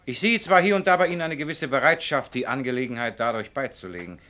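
An actor playing a stern, self-important company director in a radio play, speaking formally and emphatically, as if making a speech. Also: male voice, medium pitch, quick pace, pushed and bright, close to an everyday voice. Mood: stern, insistent. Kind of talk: German radio play acting.

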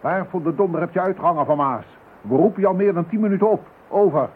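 A man's voice: sits high, fast talker, lightly acted. An actor playing an irascible, bossy male police commissioner in a radio drama. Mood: angry, demanding. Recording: tinny, band-limited, hissy radio play recording.

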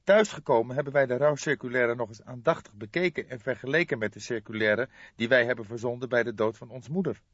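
A dry, slightly dull recording of a male reader playing a distraught younger man in an audiobook. The pitch is pushed up high, and the delivery is halting, trembling and pleading. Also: no echo, natural voice. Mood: troubled. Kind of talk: audiobook character voice.

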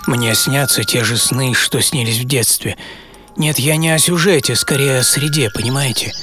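An actor playing an eccentric, dreamy architect in his seventies in a theatrical radio monologue full of pauses. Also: mid-range voice, close to an everyday voice. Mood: dreamy, wistful. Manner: theatrical, pausing, swinging from hushed to emphatic. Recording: studio voice over prominent music.

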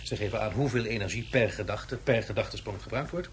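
A man's voice: lowish voice, fast talker, slight background noise, natural voice. Actor as fussy, pedantic male professor. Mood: eager, explaining. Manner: hesitant, explanatory. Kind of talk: radio drama acting.

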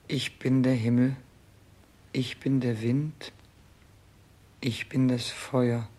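An actor playing a dying, bewildered, self-absorbed king in a 1960s German radio play, declaiming in a halting, incantatory way. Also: medium pitch, flat delivery, dark tone. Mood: grandiose.

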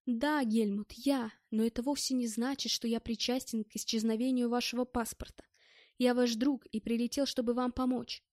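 Женщина читает реплики сдержанного, вежливого героя-мужчины из аудиокниги, говоря успокаивающе и чуть лукаво. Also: female voice, a little high, normal speed, dry recording, studio quality, lightly acted. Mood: restrained politeness. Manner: restrained, polite, calming. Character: composed, reassuring male first-person hero, slyly polite.

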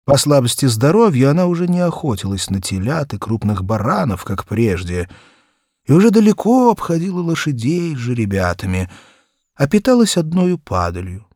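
A man narrating a children's story as a scripted audiobook, with expressive, measured delivery and deliberate pauses. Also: mid-range voice, no echo, studio quality.

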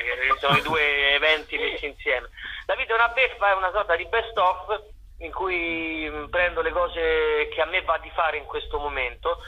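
A man with a bright, forceful voice, slightly high and husky. He chats as an interview guest, playful and ironic, circling back over his phrases as he explains. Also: poor audio. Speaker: actor and director, interview guest. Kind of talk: casual radio interview.

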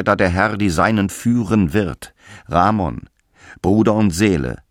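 Grave, fervent apostle in a low voice. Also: male voice, no room sound, clean studio sound. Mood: stern exhorting. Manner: declamatory, grave, exhorting. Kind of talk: solemn radio drama monologue in archaic scriptural language.

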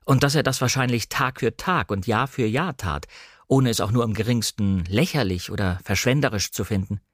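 A man reading a novel aloud as a shy, insecure young woman recalling her memories in an earnest, measured literary delivery. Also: lowish voice, a bit bright, no echo, clean studio sound. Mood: wondering, uneasy. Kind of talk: first-person audiobook narration of a novel.

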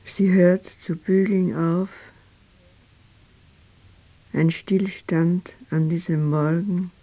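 An actress playing a grieving grown daughter in a literary radio play, reciting her memories in halting, deliberate phrases. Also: fairly low pitch, flat delivery, full character voice, poor audio. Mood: stark, shaken. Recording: loud strings and brass over voice.

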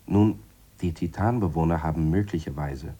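Radio drama reflective scientist: very low, mellow, slightly husky, measured and wryly lecturing. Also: male voice. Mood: earnest, reasoning. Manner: measured, dry, explanatory. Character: Harry, deliberate, wry male scientist explaining his theories.

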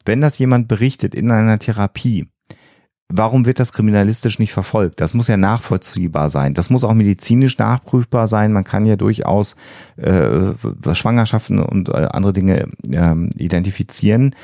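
A man's voice: on the low side, normal speed, gravelly, warm tone, no echo, studio quality. A man, co-hosting a podcast and explaining things in an earnest, emphatic way. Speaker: podcast co-host.

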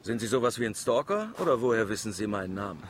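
A man playing a self-assured marine biologist and uncle in a radio drama, jovial, flirtatious and gently mocking. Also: fairly low pitch, big pitch swings, fast talker, a little hiss or hum. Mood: amused.

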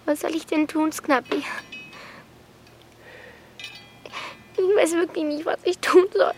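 A young woman playing an anxious thirteen-year-old girl in a wartime radio drama, speaking softly and intimately, full of questions. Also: very high voice, steady tone, moderate pace, slight background noise. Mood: helpless, uneasy. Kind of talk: naturalistic radio drama acting.

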